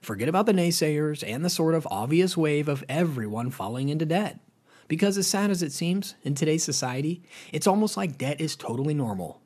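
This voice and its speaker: Lively husky American male narrator. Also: on the high side, a little fast. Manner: chatty, addresses viewers as guys, lively rises. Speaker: narrator of a finance explainer channel. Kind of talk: scripted voice-over narration.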